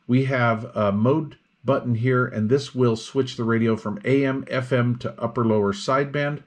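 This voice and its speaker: A man's voice: medium pitch, steady tone, moderate pace. A man reviewing radio equipment in a casual, hands-on demonstration, explaining things step by step without hurry.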